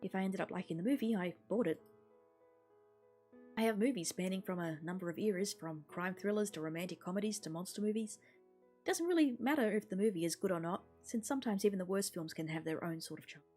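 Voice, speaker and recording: A woman's voice: medium pitch, animated, fast, darkish tone. A woman streaming a visual novel, reading the game text aloud expressively and adding casual, offhand comments. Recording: music playing behind the voice. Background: game music.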